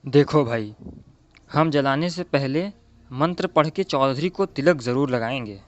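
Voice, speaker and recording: A man's voice: medium pitch, a little slow, lo-fi sound, lightly acted. A man playing a stubborn, pious village priest in an audio play, insistent and self-righteous.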